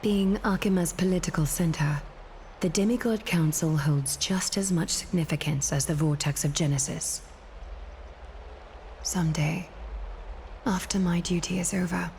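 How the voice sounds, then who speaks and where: Game role: composed female strategist-leader, deep for a woman, formal, poised and authoritative. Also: a little slow, gravelly, a little mellow, slight background noise. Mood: solemn. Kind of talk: video game voice acting.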